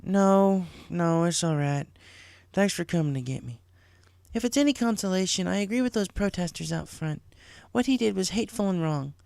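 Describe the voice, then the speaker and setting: An earnest, caring farm pony mare from a storybook voiced by a man. The voice sits well above a man's usual pitch and talks with big-sisterly firmness. It is a close, dry recording. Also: animated, moderate pace, vocal fry, dry recording. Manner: gentle, firm big-sisterly talk.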